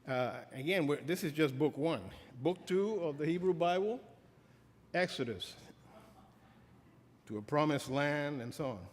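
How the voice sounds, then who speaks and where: A middle-aged man giving an academic lecture as a professor, halting with many fillers and restarts. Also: on the high side, expressive, slight background noise. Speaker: professor giving a keynote address. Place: lecture hall. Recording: reverberant hall, faint ambience.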